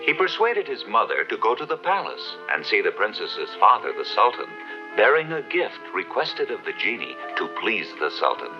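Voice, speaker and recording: A man's voice: lowish voice, wildly swooping pitch, moderate pace. A man narrating a fairy tale as the scripted storyteller of a 1970s cartoon. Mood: storytelling. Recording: dull old TV soundtrack, music behind.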